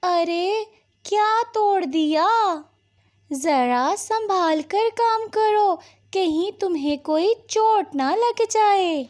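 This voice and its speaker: A woman's voice: very high voice, lively intonation, extremely slow, darkish tone, exaggerated voice. A woman voicing an affectionate, protective mother bird in a Hindi cartoon story, with a fussing, motherly manner. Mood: gentle concern.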